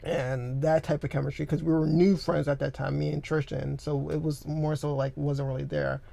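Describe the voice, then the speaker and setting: A young man co-hosting a podcast in a studio, chatting casually in a rambling, animated way with lots of filler words. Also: on the high side, normal speed, creaky, no room sound. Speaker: podcast co-host. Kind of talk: casual chat. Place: recording studio. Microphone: studio microphone.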